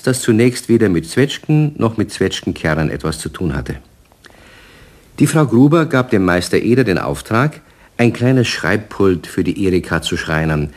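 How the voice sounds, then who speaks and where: A man narrating a children's radio play as a storyteller, reading scripted prose. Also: lowish voice.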